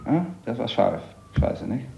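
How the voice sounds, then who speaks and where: A man's voice: mid-range voice, sing-song, a little slow, creaky, background noise. A man chatting casually and emphatically as an interviewee, correcting and tapping out rhythms with his voice. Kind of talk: casual chat with sung rhythm examples. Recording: muffled, echoey room.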